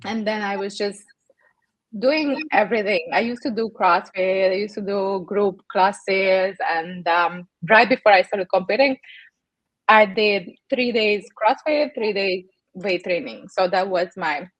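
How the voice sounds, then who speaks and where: A young woman with a Hungarian accent, a native Hungarian speaker, telling her story as a podcast guest in an animated way. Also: medium pitch, a little slow, no room sound, rough recording. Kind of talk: interview storytelling.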